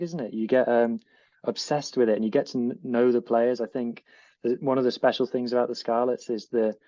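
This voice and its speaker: A man's voice: lowish voice, mellow, dry recording, muffled recording. A man with a Northwest English accent, co-presenting a podcast in an eager, rambling way. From northwest England. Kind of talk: casual podcast chat.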